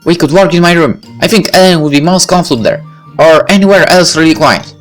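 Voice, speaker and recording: A man voicing a friendly, helpful high-school girl in a visual novel, in a light, casual way. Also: quick pace, faint music behind, close to an everyday voice. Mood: upbeat, helpful.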